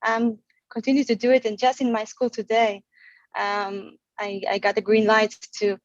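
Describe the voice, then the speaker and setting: A woman in her forties from Israel, sharing her gratitude on a group video call, halting and full of fillers and restarts. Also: a little high, flat delivery, no room sound, highs cut off. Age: 40s. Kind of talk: heartfelt casual sharing.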